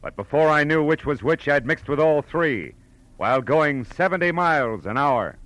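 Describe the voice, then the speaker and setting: An American actor playing a blunt, shrewd, world-weary private detective in a clipped, wry 1940s radio style. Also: male voice, fairly high pitch, animated, moderate pace, lightly acted. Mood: tense, teasing. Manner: clipped, tough, wry delivery. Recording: vintage radio recording with faint music.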